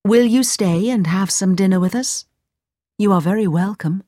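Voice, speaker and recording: A woman voicing a courteous, cautious mother in a storybook, speaking in a gentle, measured, polite way. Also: medium pitch, no room sound, studio quality, natural voice. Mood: welcoming.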